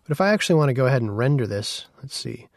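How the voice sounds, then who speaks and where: Dry-sounding man teaching software casually. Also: medium pitch, big pitch swings, rapid-fire, no room sound. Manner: informal, conversational. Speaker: instructor.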